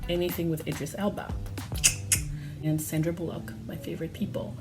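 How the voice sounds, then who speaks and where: A woman's voice: low voice, little pitch movement, normal speed. A woman, a Lingala speaker, chatting casually to camera at home and laughing often. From Democratic Republic of Congo. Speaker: vlogger. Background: background music.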